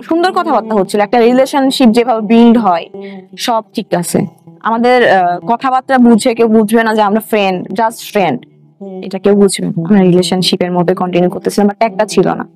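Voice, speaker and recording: A woman's voice: mid-range voice, a little fast, darkish tone. A young woman with a Bangladeshi Bengali accent, telling her own story in a colloquial way as an interview guest, with English words mixed in. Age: young adult. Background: background music.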